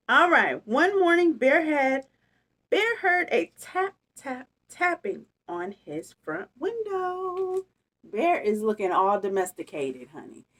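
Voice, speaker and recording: Female storytime reader: high-pitched, highly animated, unhurried, playful chat between lines of the book. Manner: expressive, playful, chatty. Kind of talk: read-aloud with casual asides to children.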